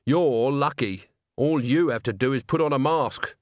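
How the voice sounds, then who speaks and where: A man's voice: medium pitch, moderate pace, no echo, studio quality, natural voice. A man voicing an earnest, stubborn young woman in a storybook, with an insistent, pleading manner. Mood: earnest, reproachful.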